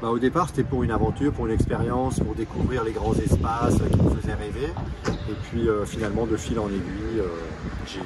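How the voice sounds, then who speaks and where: A man from Alsace answers interview questions thoughtfully, stopping for hesitations now and then. He is recorded from some distance off the mic. Loud background noise, with passing vehicles, fills the recording. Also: fairly low pitch, little pitch movement, fairly quick. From Alsace, France. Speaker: interviewee, park operations director.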